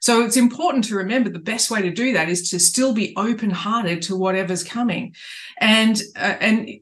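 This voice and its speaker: A woman's voice: medium pitch, normal speed. A middle-aged woman, a life coach earnestly talking to camera about living from the heart, often restarting her phrases, recorded on a webcam in a small room at home. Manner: earnest, spiritual, with many restarts. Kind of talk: reflective coaching talk to camera.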